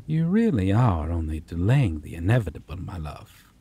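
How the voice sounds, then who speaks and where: A man voicing a possessive, menacing male vampire in an ASMR roleplay, talking low and teasing and dropping to whispers. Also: very animated, normal speed, dark tone, husky, full character voice. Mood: taunting.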